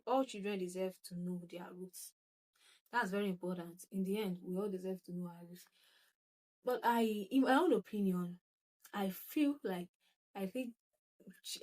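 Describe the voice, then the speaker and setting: A woman's voice: medium pitch, darkish tone, poor audio. Chatty Nigerian woman reading comments. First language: Yoruba. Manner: chatty and reflective, with many restarts and bursts of laughter. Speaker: channel host. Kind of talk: casual talk to camera, reading comments.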